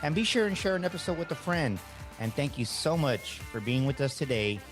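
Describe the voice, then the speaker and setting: A man's voice: on the high side, sing-song, a little fast. A man reading the scripted outro of a podcast in an upbeat, announcer-like way. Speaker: podcast host. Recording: read over music.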